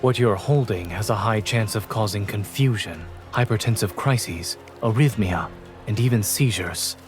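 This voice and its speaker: Man playing calm male doctor, video game, principled, measured, dry deadpan, light music behind. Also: fairly low pitch, natural voice. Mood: stern, controlled.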